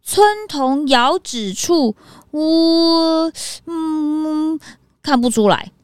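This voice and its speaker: A woman's voice: high voice, expressive, extremely slow, darkish tone, heavily acted. A woman playing a chirpy, inquisitive young detective's sidekick, chatty and cheeky, in a children's audio drama. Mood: puzzled, curious.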